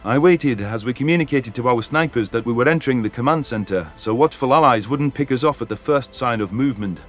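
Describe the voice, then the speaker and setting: Man acting an earnest, grieving alien soldier, mid-pitched. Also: fairly quick, soft background music, lightly acted. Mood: grim, intent. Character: grieving, vengeful alien male soldier narrating his war. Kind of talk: first-person sci-fi story narration and dialogue.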